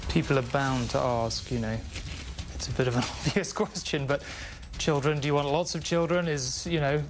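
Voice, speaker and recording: A man's voice: medium pitch, normal speed, over music. A man putting an informal, tentative question as an interviewer.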